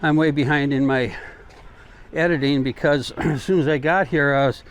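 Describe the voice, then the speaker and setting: Higher-pitched American man chatting outdoors, street noise. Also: fairly even intonation, moderate pace. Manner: informal, folksy, colloquial. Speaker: travel vlogger. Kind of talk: casual rambling walk-and-talk chat. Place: outdoors, walking along city streets.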